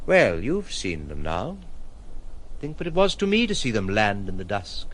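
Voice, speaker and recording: An actor playing a troubled, principled gentleman in an audio drama, articulate, formal and brooding. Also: lowish voice, sing-song, moderate pace, low-quality recording. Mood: brooding, dramatic. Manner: articulate, formal, theatrical phrasing.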